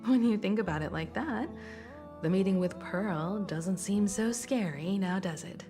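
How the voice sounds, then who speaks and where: A gentle, composed young woman voiced by an actress in an anime-style game. Her mid-pitched voice is soft and reflective with quiet unease, over faint music. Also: measured pace, lightly acted. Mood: wry, reassured.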